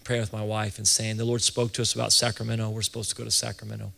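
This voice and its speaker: A man around forty giving an informal church leadership talk, conversational and halting, often restarting and repeating his phrases. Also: on the low side, level tone, creaky, sharp tone. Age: late 30s to early 40s. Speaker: ministry leader and church planting teacher. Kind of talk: informal sermon-style teaching talk to an audience. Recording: reverberant hall sound.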